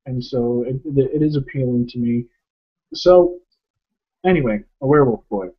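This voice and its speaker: An American man from upstate New York co-hosting a movie review in a casual, conversational way. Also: medium pitch. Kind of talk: casual film discussion. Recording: dull lo-fi recording.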